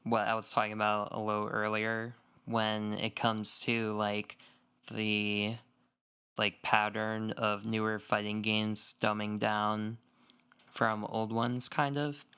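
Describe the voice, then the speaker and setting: A man co-hosting a casual podcast, talking through his opinions in an explanatory, measured way. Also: fairly low pitch, little pitch movement, sharp tone, smooth. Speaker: podcast co-host. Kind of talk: casual gaming podcast chat.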